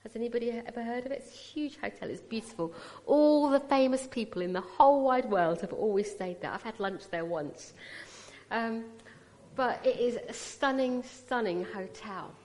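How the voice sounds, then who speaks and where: A woman's voice: on the high side, normal speed, darkish tone. A woman in her forties from Punjab, India, with a British English accent, giving a chatty personal testimony as a guest speaker at church and teasing the audience to react. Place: church hall, indoors. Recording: long reverb of a large hall.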